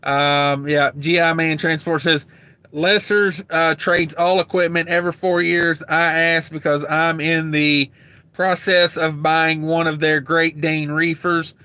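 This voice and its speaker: A man's voice: fairly high pitch, level tone, unhurried, pushed and bright, clean tone, dry recording. A man hosting a trucking livestream, rambling casually with lots of fillers while reading out viewer comments, his throat getting dry. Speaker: truck driver livestream host.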